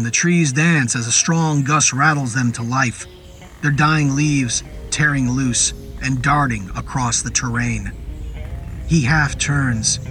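A man narrating a scripted horror story in a measured, descriptive storytelling manner. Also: medium pitch, a bit bright. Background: music under the voice.

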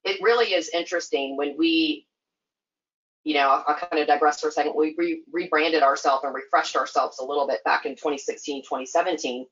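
Low-voiced chatty woman guest. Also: fairly quick, a bit bright, lo-fi sound. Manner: chatty, filler-heavy, laughs easily. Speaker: guest, skating association staff. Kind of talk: casual podcast interview.

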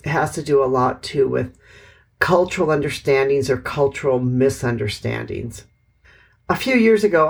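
Podcaster rambling solo, lots of "sort of", husky kinda gravelly voice. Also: mid-range voice. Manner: reflective and rambling, with many "sort of" hedges and self-corrections. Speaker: podcast host. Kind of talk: casual solo podcast monologue. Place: living overseas in Vietnam.

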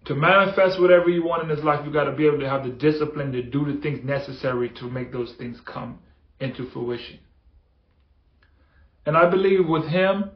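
A man hosting a motivational podcast, talking earnestly and repeating phrases for emphasis. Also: mid-range voice. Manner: earnest, repetitive, building emphasis. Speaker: podcast host. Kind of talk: motivational monologue. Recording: echoey, dull lo-fi room sound.

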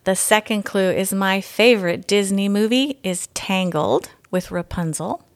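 A woman's voice: medium pitch, unhurried. Woman reading a children's story aloud. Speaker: guest story reader.

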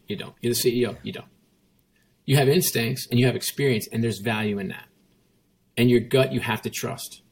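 A man with a gravelly, husky voice tells his story in a relaxed interview. He leans hard on repetition and acts out the lines of the people in it. His pitch sits in a middle range. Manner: emphatic, repetitive, quotes himself and others. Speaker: former CEO, guest.

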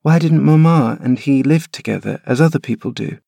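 A man's voice: medium pitch, brisk, gravelly, warm tone, dry recording, voice pushed up. Man voicing a fretful sickly young boy. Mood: plaintive. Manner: plaintive, questioning.

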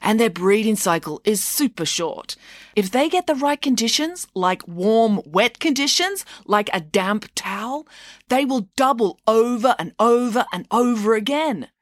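Woman playing an insistent, lively mum. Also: on the high side, measured pace, dry recording, clean studio sound, voice pushed up. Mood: explaining. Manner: insistent, didactic. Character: exasperated, explaining mum, wry and insistent.

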